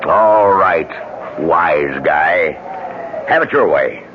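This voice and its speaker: Slow, deep, exasperated sheriff. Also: male voice, expressive, full character voice. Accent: American. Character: exasperated small-town sheriff, gruffly well-meaning. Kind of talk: old-time American radio drama acting. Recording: muffled, noisy radio drama recording.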